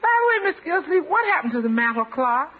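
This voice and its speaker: On a tinny vintage radio recording, a good-natured American housekeeper woman talks in a folksy, exclamatory way. The voice is very high and leaps about dramatically, with a fond, deferential manner. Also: very animated, fast talker. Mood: easygoing. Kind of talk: classic radio comedy acting. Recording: thin, band-limited vintage radio recording.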